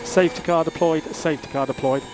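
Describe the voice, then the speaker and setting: A man's voice: a little high, fairly even intonation, fast. A male race engineer giving short updates to his driver over team radio. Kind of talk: team radio instructions during a motor race. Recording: very noisy team radio link.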